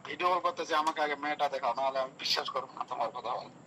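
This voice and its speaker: A man in a casual phone conversation, earnest and questioning, heard over a loudspeaker. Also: fairly high pitch, rapid-fire, low-quality recording. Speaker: friend receiving a prank call. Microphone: heard through phone loudspeaker. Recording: phone on loudspeaker, faint noise.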